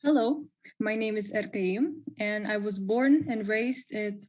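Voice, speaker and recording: A woman's voice: mid-range voice, a little slow, a little mellow. A young woman from Kyrgyzstan, a first-year student, introducing herself in a friendly, straightforward way on an online panel. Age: young adult.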